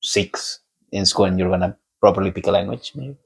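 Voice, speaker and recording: Deep gravelly Spanish-accented man. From Spain. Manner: relaxed, halting, laughs often. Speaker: guest. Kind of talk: casual conversation.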